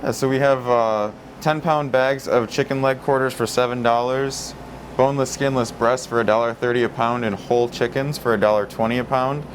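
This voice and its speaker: A man's voice: medium pitch, moderate pace, slight background noise. A man showing visitors around his grocery store, talking casually with lots of fillers and restarts. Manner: relaxed, many fillers and restarts. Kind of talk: casual store tour talk.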